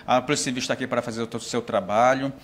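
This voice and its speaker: A man in his thirties with a northern Brazilian accent, a police chief, gives a formal statement in an office into a handheld mic. He speaks at an average pitch, pausing and restarting often. A faint hum of room noise sits behind him. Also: steady tone. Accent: Brazilian Portuguese, northern Pará. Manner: measured, formal, frequent hesitations. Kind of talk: interview statement. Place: indoor office. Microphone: handheld mic held close.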